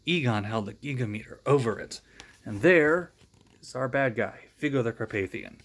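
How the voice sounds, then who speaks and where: A man's voice: medium pitch, moderate pace, fairly bright tone. A man reading a children's storybook aloud in a friendly way, adding joking asides. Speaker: storybook reader.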